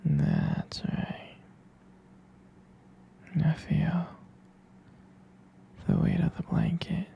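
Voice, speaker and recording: A man speaking in a breathy, husky whisper, tender, soothing and halting, as a boyfriend in a bedtime ASMR roleplay, close to the microphone. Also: on the high side, wildly swooping pitch, dull audio. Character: tender, protective boyfriend lulling partner to sleep.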